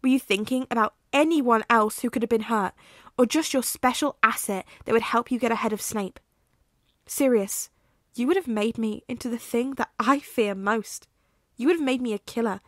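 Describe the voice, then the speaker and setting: A woman voicing a betrayed teenage boy who is a werewolf, shouting and swearing bitterly in a storybook reading. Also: fairly high pitch, expressive, extremely slow, breathy edge, no room sound, clean studio sound, full character voice. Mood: furious.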